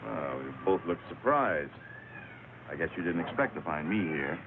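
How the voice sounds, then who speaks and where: An actor playing a smug, menacing Mexican crime syndicate boss, taunting and self-assured, in old radio drama style on a hissy recording. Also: male voice, low-pitched, lively intonation, normal speed, narrow, tinny audio. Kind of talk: mid-century radio drama acting.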